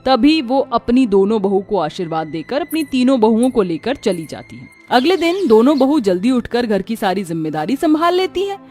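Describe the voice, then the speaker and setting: A woman's voice: a little high, big pitch swings, moderate pace, few pauses, dark tone. A woman reading scripted story narration as the narrator. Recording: music under the voice. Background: background music.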